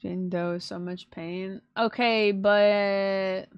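A woman's voice: medium pitch, fairly even intonation, takes it slowly, smooth, dry recording, dull audio. A woman in her twenties giving casual, gushing, slangy and playful reaction commentary as a streamer. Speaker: streamer reacting to game trailers. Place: small indoor streaming room at home. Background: faint trailer music.

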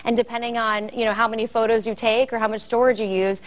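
A woman's voice: on the high side, flat delivery, quick pace, low-quality recording. An American woman in her thirties speaking conversationally as a spokesperson in a promotional TV interview. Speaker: guest spokesperson promoting a product. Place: furnished living-room set indoors. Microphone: lapel mic.

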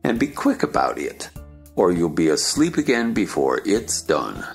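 Rude, curt eccentric man for a storybook play, male actor: rumbling bass, crackly, animated. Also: a little slow, vocal fry, faint music behind, exaggerated voice. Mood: needling. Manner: curt, needling. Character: rude, contrary tea-party guest, adult man. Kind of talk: stage play reading.

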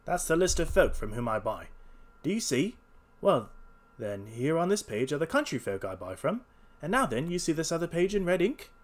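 A man's voice: on the high side, very animated, normal speed, voice pushed up. A man voicing a stubborn, irritable market goose salesman in a storybook reading, snapping and combative in his manner. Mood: smug, triumphant. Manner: gruff-worded, snapping, combative.

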